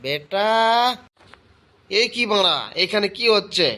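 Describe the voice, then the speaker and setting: High piercing voice, angry threatening father played by a man, amateur comedy cartoon, crude blustering scolding, noisy recording. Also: takes it slowly, bright, forceful tone, exaggerated voice. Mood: furious. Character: angry, threatening father chasing his wayward son.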